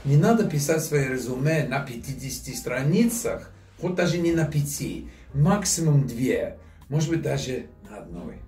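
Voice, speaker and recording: Man in his fifties giving lively advice, roomy sound. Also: mid-range voice, moderate pace, far from the mic. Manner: lively, direct, talks with his hands while holding a glass. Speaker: video host. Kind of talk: casual advice vlog to camera. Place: indoors in a decorated restaurant room. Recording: roomy, a little off-mic, music underneath.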